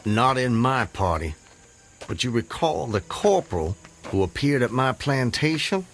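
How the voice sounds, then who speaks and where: An actor playing a proud, resolute Southern planter of the Civil War in a radio drama, speaking formally and gravely. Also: male voice, mid-range voice, very animated, measured pace. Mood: guarded, bitter. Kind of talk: radio drama acting, narrating and dialogue.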